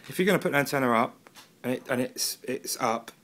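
A man's voice: medium pitch, fairly quick, heavy background noise. A man with an English accent talking casually as a hobbyist reviewer, rambling a little and self-deprecating. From England. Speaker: amateur radio hobbyist and video reviewer. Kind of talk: casual product review and radio chat. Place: indoors at a wooden table, off camera.

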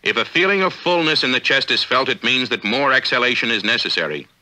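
An American man reading formal, measured, authoritative narration for a 1950s instructional film, on an old film soundtrack. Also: medium pitch, animated, pushed and bright. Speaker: narrator of a military training film.

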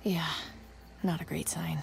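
A woman's voice: flat delivery, a little slow, gravelly, darkish tone, a little hiss or hum, full character voice. An actress playing a tough, rebellious, sardonic woman surviving a zombie apocalypse, dry and candid in a video game drama. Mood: quietly worried. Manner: dry, candid, low-key.

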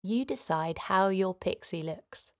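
Slow, gentle woman guiding relaxation. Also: medium pitch, animated. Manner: gentle, soothing, simple instructions with pauses. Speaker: children's guided meditation host. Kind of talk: guided relaxation for children.

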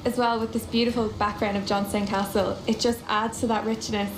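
A woman's voice: medium pitch, level tone, a little fast, echoey room, noisy background. A young woman singer answering interview questions outdoors in an earnest, heartfelt way. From Ireland. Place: outdoors on castle grounds.

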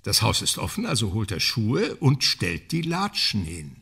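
A man acting in a German radio crime drama as a fussy, educated amateur sleuth, wry and halting in manner. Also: on the low side, wildly swooping pitch, creaky, pushed and bright, husky, exaggerated voice. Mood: hesitant.

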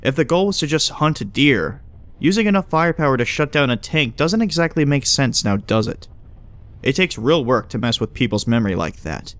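A man's voice: medium pitch, animated, fairly quick, a bit bright, no room sound, lightly acted. A man playing a breezy, glib agent, dry sound. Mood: measured, probing. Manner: casual, glib, explanatory. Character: breezy, smug male agent who knows hidden things. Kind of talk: audio drama dialogue.

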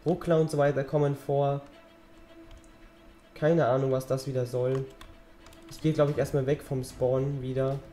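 A teenage boy talks his way through a game, rambling and chatty, his voice slightly hoarse from a cold. His tone is mellow and dark, and faint music plays behind him. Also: mid-range voice, level tone, moderate pace, pauses often. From German-speaking Europe. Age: teens. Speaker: gaming channel host. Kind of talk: casual let's-play commentary.

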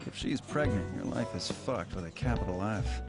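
An actor playing a frank, crude friend, talking bluntly and casually in an animated game cutscene. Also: male voice, on the low side, moderate pace, creaky. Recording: loud music under the dialogue.

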